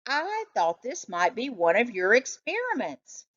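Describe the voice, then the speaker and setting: A woman's voice: a little high, wildly swooping pitch, a little slow, a bit bright, lo-fi sound, heavily acted. A woman voicing a startled, puzzled father in a children's storybook, exclaiming and questioning. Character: startled suburban father at breakfast.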